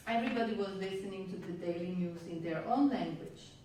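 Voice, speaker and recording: A woman from Yugoslavia, a native Hungarian speaker, performing a spoken-word monologue on a venue stage in a measured, reflective way with wry asides. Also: lowish voice, mellow, a little hiss or hum. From Yugoslavia (Vojvodina). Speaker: stage storyteller. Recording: roomy, distant live hall sound.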